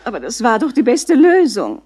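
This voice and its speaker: A prim mature genteel lady of vintage radio drama, her pitch swinging widely. She sounds affected and snobbish, quick to take offence at anything improper. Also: wildly swooping pitch, darkish tone, slightly rough, full character voice. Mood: self-justifying. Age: middle-aged or older. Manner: affected, indignant, over-refined. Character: prim, snobbish, easily shocked genteel mother. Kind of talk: 1960s German radio crime drama acting.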